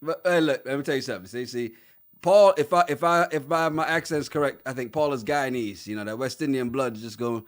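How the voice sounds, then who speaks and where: A man's voice: medium pitch, quick pace. A man co-hosting a casual podcast, laughing often and acting out quotes in his reality-show recap chat. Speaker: podcast co-host.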